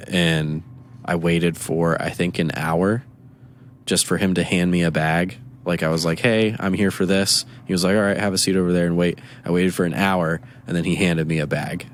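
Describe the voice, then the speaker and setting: Deep-voiced man rambling in casual chat. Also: normal speed, slight background noise. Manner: rambling, animated storytelling with quoted exchanges. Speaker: podcast co-host.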